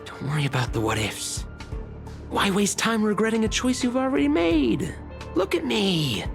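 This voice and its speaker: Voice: mid-range voice, normal speed, vocal fry. An actor voicing a chatty, weary, teasing researcher in a video game, with sighs and muttered asides. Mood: rueful. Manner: expressive, sighing, muttering asides. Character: chatty, weary, teasing station researcher, a grumbling office senior. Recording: studio voice over game music.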